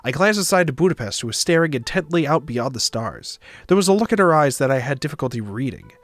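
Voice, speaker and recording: A man's voice: fairly high pitch, lively intonation, quick pace, a bit bright, no echo, clean studio sound. A man narrating a visual novel aloud for a stream, with casual asides. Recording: music behind the voice. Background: music.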